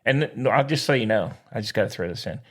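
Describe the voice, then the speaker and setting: A man's voice: medium pitch, animated, racing, breathy edge. A middle-aged man co-hosting a podcast in casual banter, folksy and slangy, playfully boastful and repeating himself for emphasis. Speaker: podcast co-host.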